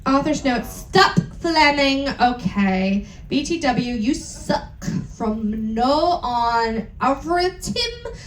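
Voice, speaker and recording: High-voiced woman doing a comedic dramatic reading. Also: very animated. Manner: exaggerated emphasis, deliberate mispronunciations, joking asides. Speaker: performer reading aloud on stage. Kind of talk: comedic dramatic reading of bad fan fiction. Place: indoor event stage on a cruise. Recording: roomy live venue, faint background noise.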